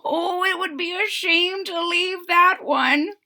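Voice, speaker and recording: A woman's voice: very high-pitched, takes it slowly, pushed and bright, voice pushed up, exaggerated voice. A woman voicing a very old man who tenderly gushes over cats in a storybook. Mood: smitten. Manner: gushing, exclamatory. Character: very old man, tender, delighted cat lover.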